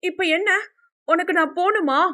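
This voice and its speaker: A woman's voice: very high voice, normal speed, sharp tone, voice pushed up. A woman voicing a bold, smitten young woman, pleading and insistent, in an audio novel. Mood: exasperated. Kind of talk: Tamil audio novel character acting.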